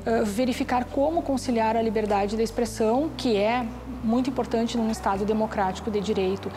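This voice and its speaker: A woman's voice: fairly high pitch, steady tone, a little fast, background noise. A Brazilian woman in her forties, an academic giving a formal explanation in an interview, on a lapel mic indoors. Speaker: academic researcher. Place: indoors, small office. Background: music.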